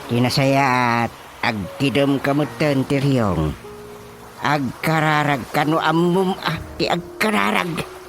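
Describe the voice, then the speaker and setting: A man's voice: mid-range voice, slow pace, music in the background. An actor playing a bossy, fussy village chairman and healer in a radio comedy drama, with an Ilocano Filipino accent and a hesitant, muttering manner.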